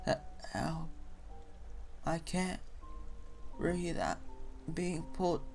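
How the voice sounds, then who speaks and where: A man voicing an unseen pony drowning in a dark river, with a thick, labored, gurgling voice and desperate pleading cries. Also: fairly high pitch, lively intonation, extremely slow, gravelly, faint music behind, voice pushed up, heavily acted. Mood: panicked.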